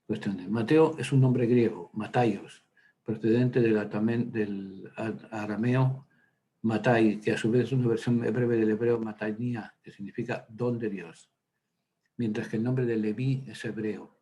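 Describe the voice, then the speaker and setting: A man's voice: on the low side, steady tone, unhurried, warm tone, poor audio. A man, a priest, teaching a Bible workshop in a chatty, digressive way, with many hesitant fillers and side stories. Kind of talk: informal Bible lecture.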